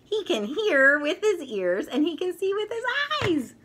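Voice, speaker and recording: A middle-aged woman reads and teaches to young children in an expressive, deliberate, sing-song storytelling manner. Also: high-pitched. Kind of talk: picture book read-aloud for young children. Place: furnished room at home, indoors.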